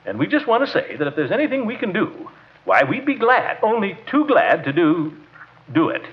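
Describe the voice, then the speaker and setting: A man's voice: sits high, big pitch swings, moderate pace. An actor playing a pompous, flustered club man who blusters and hems and haws in an old-time radio comedy. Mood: flustered, formal. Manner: blustering, hemming and hawing. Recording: muffled vintage radio recording.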